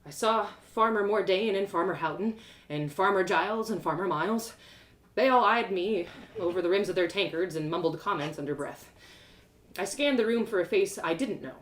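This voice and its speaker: A woman storyteller narrating a fantasy tale in the first person, in an animated, dramatic reading style. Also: medium pitch, normal speed.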